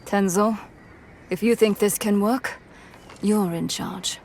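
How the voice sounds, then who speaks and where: An actress playing a tough, scornful raider chief in a game cutscene, curt, mocking and authoritative. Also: female voice, medium pitch, steady tone, slow, darkish tone, a little hiss or hum. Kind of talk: video game cutscene acting.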